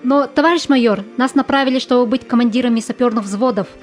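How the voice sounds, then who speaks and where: A woman's voice: fairly high pitch, fast talker, soft background music, natural voice. A woman playing a determined, eager young junior lieutenant in an amateur audio drama. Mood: insistent.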